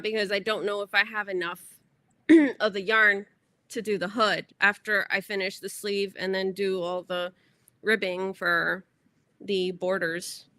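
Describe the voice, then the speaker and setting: A woman's voice: medium pitch, sharp tone, dry recording. A woman hosting a crochet livestream, chatting casually and rambling as she corrects herself. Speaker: crochet channel host.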